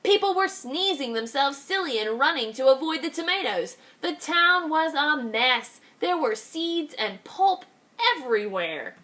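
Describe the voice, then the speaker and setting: Woman reading a storybook aloud. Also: high voice. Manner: expressive, slows down on key phrases. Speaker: kindergarten teacher. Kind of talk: reading a picture book aloud.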